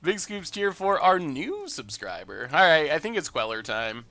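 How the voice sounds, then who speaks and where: A man's voice: on the high side, wildly swooping pitch, normal speed, bright, forceful tone, no echo. A man streaming a card game, narrating his plays and chatting with viewers in an upbeat, conversational way. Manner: upbeat, chatty, reads and answers viewer messages. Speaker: streamer and gameplay commentator. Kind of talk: live-stream gameplay commentary with chat replies.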